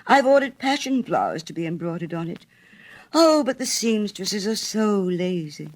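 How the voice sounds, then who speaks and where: A woman's voice: medium pitch, sing-song, normal speed, voice pushed up. A vain young lady of society in a storybook, as put on by a woman reader. She speaks in an affected, complaining way with swooping intonation. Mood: petulant vanity.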